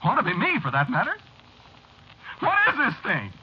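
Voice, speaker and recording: An American actor playing a haunted, rattled businessman who tells his eerie story haltingly and confidingly in a 1940s radio horror drama, on a muffled, noisy old recording. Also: sits high, huge pitch swings. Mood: shaken, anxious. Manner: halting, repeating himself, confiding. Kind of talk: 1940s radio acting, first-person horror narration.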